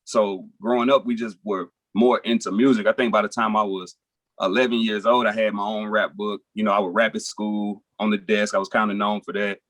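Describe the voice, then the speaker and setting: A young man from Nashville, a rapper, talking in a relaxed, rambling way full of fillers as a podcast guest. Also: on the low side, brisk, no room sound. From Nashville, Tennessee. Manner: laid-back, rambling, frequent fillers like "you know" and "um". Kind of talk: casual interview chat.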